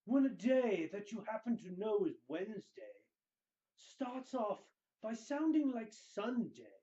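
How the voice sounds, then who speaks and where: Man putting on a slow, high-pitched theatrical wizard. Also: mellow, lo-fi sound. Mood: ominous, measured. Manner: grandiose, drawn-out delivery with heavy pauses. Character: pompous theatrical wizard dramatically reading books aloud. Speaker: host. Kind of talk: melodramatic dramatic reading.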